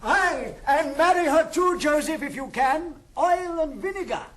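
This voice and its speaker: An actor playing a blunt, sardonic old uncle in a 1960s recording of a classical stage comedy, with a British accent and declamatory delivery. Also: male voice, very high voice, animated, a little slow, fairly bright tone, heavily acted. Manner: declamatory, theatrical. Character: blunt wealthy old uncle, male, sardonic yet generous. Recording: 1960s studio record, faint hiss.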